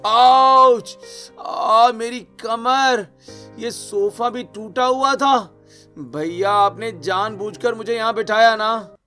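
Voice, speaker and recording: A man voicing an excitable, hapless young man in a cartoon story, complaining dramatically. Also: very high-pitched, takes it slowly, soft background music, voice pushed up, heavily acted. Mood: pained. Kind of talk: Hindi cartoon story acting.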